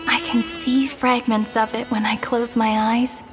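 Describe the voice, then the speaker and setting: Casting: a woman voicing a gentle game prophetess, soft and reverent, somewhat high, with score underneath. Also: steady tone, unhurried, natural voice. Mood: solemn. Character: gentle wistful young woman prophesying a new world. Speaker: game character. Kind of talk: game cutscene voice acting. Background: orchestral music.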